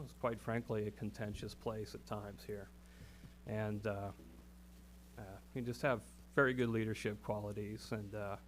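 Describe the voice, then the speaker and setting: A man with a low voice that stays flat in tone, making council remarks. He talks in an earnest, folksy way and pauses on fillers. A faint hum sits under the recording. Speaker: council member.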